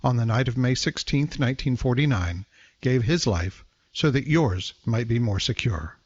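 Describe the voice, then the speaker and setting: A male announcer reading a closing radio announcement in a measured, solemn manner. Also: medium pitch, animated, lo-fi sound.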